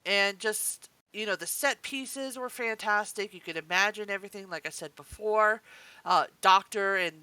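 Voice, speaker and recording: A woman's voice: medium pitch, normal speed, pushed and bright, clear, resonant tone, dry recording. A woman co-hosting a casual review discussion, talking informally. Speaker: podcast co-host.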